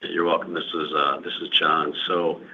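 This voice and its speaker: A man speaking as a company executive, answering questions on an earnings call in a measured corporate manner, often repeating words. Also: a bass voice, little pitch movement, breathy edge. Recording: dull lo-fi call audio, faint noise.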